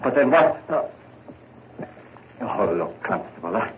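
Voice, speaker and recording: Stammering guilt-ridden killer, slow and swinging in pitch, dull hissy old radio sound. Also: male voice, big pitch swings. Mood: panicked. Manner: jittery, stammering, rising to panic. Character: nervous guilt-ridden young male murderer. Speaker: radio drama lead. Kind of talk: 1940s radio acting.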